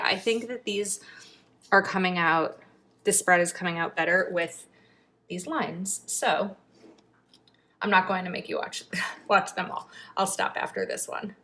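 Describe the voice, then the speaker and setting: A woman chatting casually while decorating her planner, thinking aloud and sometimes dropping to a murmur, her throat a little raspy from a long filming session. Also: on the low side, bright, forceful tone. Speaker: planner vlogger. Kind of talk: casual planning video chat.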